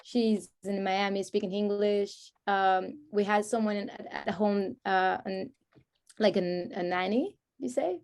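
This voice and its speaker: A woman's voice: medium pitch, measured pace, darkish tone. Woman, casual and searching. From France (grew up in Paris), born in Israel. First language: Hebrew. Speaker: guest, music artist. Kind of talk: casual conversational interview.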